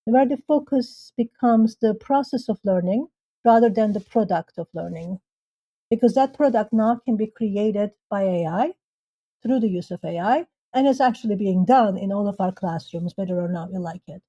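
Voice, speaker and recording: A woman's voice: medium pitch, animated, normal speed, mellow, dull audio. A woman from Turkey, a university leader and guest, answering thoughtfully with occasional restarts. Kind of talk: panel discussion answer.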